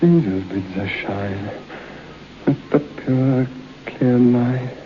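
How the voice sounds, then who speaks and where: An actor playing a proud, unnerved man trapped alone in a dark room, muttering to himself with forced bravado in an old-time radio drama. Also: mid-range voice, drawn-out words, faint music behind. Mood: wistful, muttering. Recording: dull, noisy old radio broadcast.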